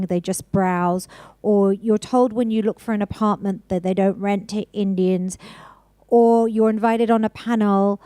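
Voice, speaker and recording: A woman from Hong Kong speaking on a panel, telling her story in an earnest, measured way with emphatic pauses. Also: medium pitch, warm tone, dry recording. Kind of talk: panel discussion.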